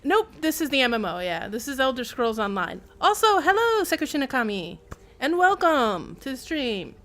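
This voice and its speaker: Higher-voiced woman chatting on a game stream. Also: very animated, moderate pace, fairly bright tone. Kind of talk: casual live-stream chat.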